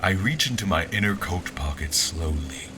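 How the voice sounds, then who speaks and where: An actor playing a troubled, desperate general in an audio drama, speaking in a halting, grave, intense manner. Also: male voice, low voice, animated, background noise. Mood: tense, hushed narration. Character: troubled, desperate newly-appointed general haunted by dreams. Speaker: actor narrating in first person and playing the lead. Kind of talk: dramatic audio fiction acting. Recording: loud music under the voice.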